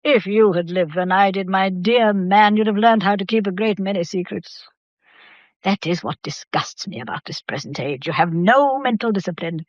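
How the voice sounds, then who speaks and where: An actress playing an imperious old Victorian matriarch with an English accent, speaking formally and deliberately in a radio drama. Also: female voice, mid-range voice, no room sound, muffled recording, lightly acted. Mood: stern, challenging. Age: older.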